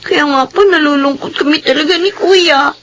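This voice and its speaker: An actress playing a sad, respectful young daughter in a Filipino radio drama, hesitant and polite. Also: female voice, high voice, moderate pace, fairly bright tone.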